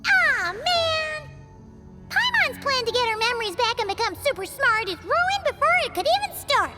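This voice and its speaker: A very high, bright little voice for a tiny excitable girl companion. She chatters chirpily, talks about herself by name, and her pitch leaps all over. Soft game music plays under her lines. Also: moderate pace, exaggerated voice. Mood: disappointed. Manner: chirpy, refers to herself in third person. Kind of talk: video game voice acting.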